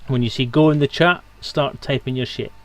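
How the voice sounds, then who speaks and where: A man's voice: mid-range voice, brisk, a little hiss or hum. A man hosting a casual livestream, sweary and teasing as he talks. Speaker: stream host.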